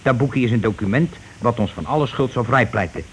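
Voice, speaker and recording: Actor as a bossy, blustering husband. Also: male voice, medium pitch, animated, a little fast, natural voice. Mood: aggrieved. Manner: blustering, commanding, colloquial. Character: bossy, indignant, petty working-class husband. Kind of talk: Dutch radio play acting. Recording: noisy old radio play recording.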